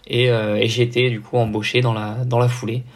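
A man's voice: mid-range voice, little pitch movement, fairly quick. A young man from the south of France with a neutral French accent, talking casually and explaining things in a hesitant way as a podcast guest. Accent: neutral French, no regional accent. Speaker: podcast guest, amateur triathlete. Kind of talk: casual interview conversation.

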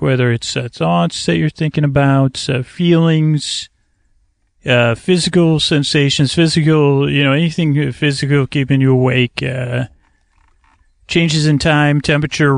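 A man hosting a sleep podcast with a creaky voice, soothing and meandering, often halting to correct himself and drifting into tangents. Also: medium pitch, no room sound, clean studio sound. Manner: soothing, lulling delivery. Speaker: podcast host. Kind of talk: rambling sleep-podcast monologue. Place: outdoors, in a kayak on a river.

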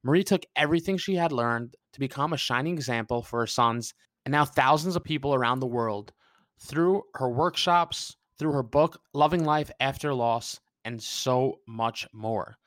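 A man's voice: mid-range voice, normal speed, fairly bright tone, no room sound. A man hosting a podcast, upbeat and enthusiastic as he introduces his guest. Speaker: podcast host.

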